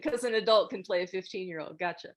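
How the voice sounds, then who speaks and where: A woman's voice: medium pitch, fast. A woman hosting a casual group discussion over a video call, speaking conversationally. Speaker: host of an online table read meet-up.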